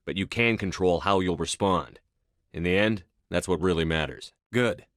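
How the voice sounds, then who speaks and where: An actor playing a stern, steady human military commander in a sci-fi video game, clipped, authoritative and matter-of-fact. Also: male voice, low-pitched, moderate pace, no echo, clean studio sound, natural voice. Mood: firm. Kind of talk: video game dialogue acting.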